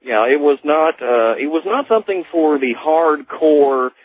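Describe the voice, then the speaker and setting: A man calling a radio show over the telephone, giving his opinion in a measured, polite, slightly hesitant way. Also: a little high, expressive, slightly rough, dry recording. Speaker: phone-in caller. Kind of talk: conversational opinion on a radio call-in. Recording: muffled narrow phone line on radio.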